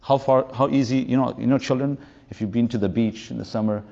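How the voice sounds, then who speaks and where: A man's voice: medium pitch, fairly even intonation, fairly quick, vocal fry, warm tone, dull audio. A man of fifty giving an informal religious lecture as a teacher, rambling through anecdotes and mixing in Arabic and Urdu terms. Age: 50s.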